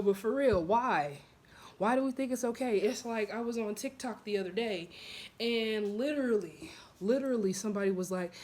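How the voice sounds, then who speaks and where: A young woman talks straight to camera in an unscripted vlog. She sounds blunt and chatty, and her pitch sits in a middle range for a woman. She is recorded close to a phone or camera mic. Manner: blunt, animated, chatty with laughs and asides. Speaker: vlogger. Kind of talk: casual talking-to-camera vlog.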